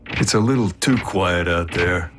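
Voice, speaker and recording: A man voicing a brash robot warrior in an animated action series, laconic and wry with tough-guy swagger. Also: low voice, unhurried, noisy background. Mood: wry, cocky. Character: brash robot warrior, gruff cocky maverick soldier. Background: loud dramatic music and soundtrack.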